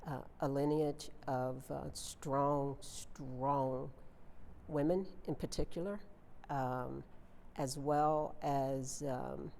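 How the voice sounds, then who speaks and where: Deep voiced lady on a panel, really slow n halting, echoey hall. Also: fairly even intonation, gravelly, dark tone. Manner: deliberate, halting phrasing. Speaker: interviewee. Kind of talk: casual panel conversation. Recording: echoey hall with faint noise.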